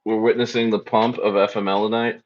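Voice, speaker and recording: A man's voice: fairly low pitch, level tone, a little fast, dull audio. A man hosting a casual crypto livestream, speaking loosely and repeating phrases as he reads the chat.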